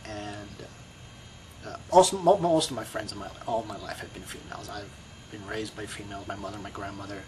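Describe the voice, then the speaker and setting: A man's voice: lowish voice, a little fast, slight background noise, poor audio. A middle-aged man with a Brooklyn accent, talking in a rambling, reflective way to his video blog, full of you-knows and restarts. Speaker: video blogger. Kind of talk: casual personal monologue.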